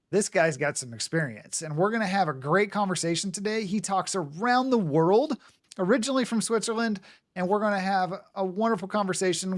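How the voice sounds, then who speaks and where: A man hosting a business podcast, introducing his guest and asking long, winding questions in an upbeat way. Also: high-pitched. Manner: upbeat, rambling questions with restarts. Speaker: podcast host.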